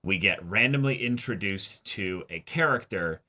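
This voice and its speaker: A man co-hosting a reaction show, arguing his points casually in a questioning, animated way. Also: on the low side, moderate pace. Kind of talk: casual anime discussion.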